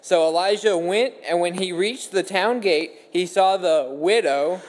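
A man's voice: sits high, unhurried, noisy background. A man reading a Bible story aloud from a script as a dramatic narrative. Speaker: scripture reader. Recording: echoey hall with long reverb.

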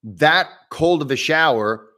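A man's voice: a little high, expressive, slow pace, pushed and bright. A man from southern Indiana with a local accent, hosting a casual livestream and answering viewer questions in a rambling, chatty way with frequent restarts. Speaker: livestream host.

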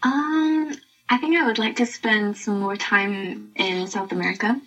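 A woman's voice: mid-range voice, fairly even intonation, a little slow, a bit bright, slightly rough, lo-fi sound. A young woman in her twenties answering interview questions in a friendly, casual way. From Britain, half Chilean. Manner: friendly, laughs lightly between answers. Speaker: interview guest.

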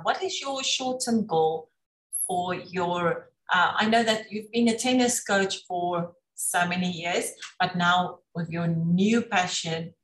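A woman's voice: on the low side, fairly bright tone, roomy sound, low-quality recording. A woman hosting an online interview, introducing and questioning her guest.

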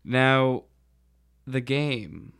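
A man's voice: medium pitch, lively intonation, extremely slow, vocal fry, fairly bright tone, clear, resonant tone, dry recording, studio quality. A man chatting casually as a panelist in a podcast discussion.

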